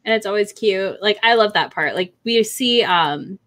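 A woman's voice: medium pitch, normal speed, a bit bright. A young adult woman in casual, informal book chat, speaking as a panelist on a video call. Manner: informal, profane. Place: indoor home room on a video call.

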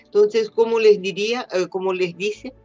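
An older Brazilian woman, a native Portuguese speaker, presenting in Spanish with a Portuguese accent, pausing often with fillers over a video call. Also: medium pitch, steady tone, dark tone, no echo, soft background music. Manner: hesitant, many pauses and fillers, mixes Portuguese words. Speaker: invited speaker, educator. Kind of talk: conference presentation. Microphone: webcam on video call. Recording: dull lo-fi video call audio.

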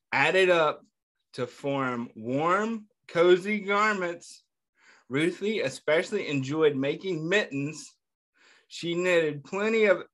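A man reading a picture book aloud to young children, pausing often to ask them questions and praise their answers, and calling himself by name in the third person. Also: a little high. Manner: gentle, patient, asks questions and pauses for answers. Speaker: children's story reader.

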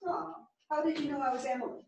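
An actress plays an older woman of literary, thoughtful temper in a stage drama. Her voice sits slightly high and is heard from a distance, with heavy theatre echo. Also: steady tone, fast talker, vocal fry, lo-fi sound, slight background noise. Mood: pleased. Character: bookish, thoughtful older woman who loves classics. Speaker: actor in a staged reading. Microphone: distant camera. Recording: very echoey theatre, distant microphone.

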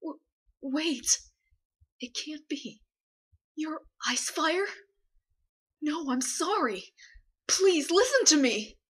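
Woman voicing young animated character, high pitch, earnest, defiant. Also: slow pace, pauses often, full character voice. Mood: shocked, pleading.

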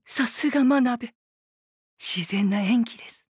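A man playing a composed, polite young cartoon lord with soft-spoken, gentle authority. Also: extremely high pitch, slow, a little hiss or hum. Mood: calm, courteous.

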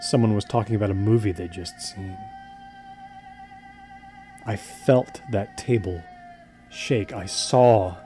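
An actor plays a serious man obsessed with something supernatural. His voice is lowish and mellow, his delivery hesitant and understated. Faint music runs behind this audio drama. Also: close to an everyday voice.

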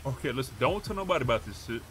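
A man's voice: mid-range voice, lively intonation, rapid-fire, a bit bright, a little hiss or hum. A man roleplaying a pushy, streetwise, profane character in a game, talking in slang. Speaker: roleplay gamer streaming.

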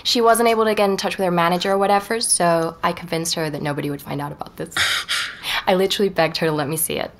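Low-voiced, reflective woman podcaster played by an actress. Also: fairly even intonation, quick pace, lightly acted. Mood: keen. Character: inquisitive, persuasive woman podcaster researching a painter. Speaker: podcast narrator and lead character. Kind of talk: audio drama narration and natural dialogue.

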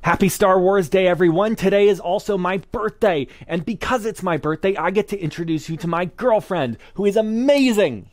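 A young man speaks casually to the camera. His voice sits high for a man. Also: normal speed, few pauses. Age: young adult.

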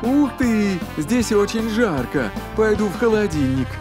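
An actor playing an excitable, childlike, whiny little mustached man in a preschool cartoon, with exaggerated, drawn-out exclamations. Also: sits high, music in the background. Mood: excited.

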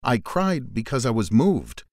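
Translation reader, short lines, very animated pitch, dry close sound. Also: measured pace, no room sound. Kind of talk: scripted translation read-out.

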